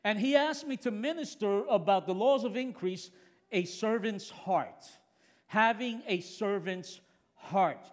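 Excitable middle-aged male preacher, ringing hall. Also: high voice, unhurried. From Puerto Rican heritage. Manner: excitable, earnest, restarts when fired up. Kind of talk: sermon. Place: large church hall. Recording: long reverb of a big hall.

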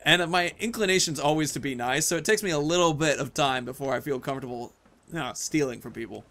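A man's voice: on the high side, quick pace, gravelly, fairly bright tone. A man in his thirties giving casual, playful gameplay commentary, rambling and talking to himself as he plays. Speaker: gaming video host.

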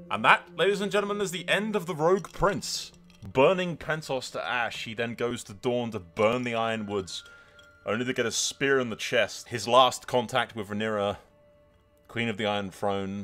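Animated man narrating game lore theatrically. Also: fairly low pitch. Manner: theatrical, switches between storytelling and sweary casual remarks. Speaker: gaming video host. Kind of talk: strategy game commentary with dramatic role-play lore narration.

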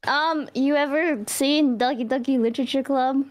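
A woman streamer in casual group chat, playful and teasing, often laughing and shouting. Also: high-pitched, normal speed.

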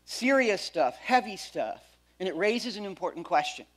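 A middle-aged man pastor preaching a sermon on a church stage, animated, swinging between loud emphasis and quiet asides. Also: high voice, moderate pace, rough recording.

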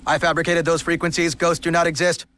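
A man voicing a surly, sly robot in an animated game, with a clipped, sardonic delivery. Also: on the high side, fast talker, lightly acted. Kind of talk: animated game cutscene acting.

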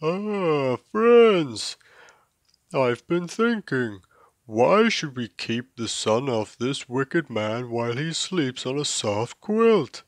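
A man voicing a persuasive, plotting camel in a children's story, with a deliberate, declaiming delivery. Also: medium pitch, sing-song, no echo, clean studio sound. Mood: scheming.

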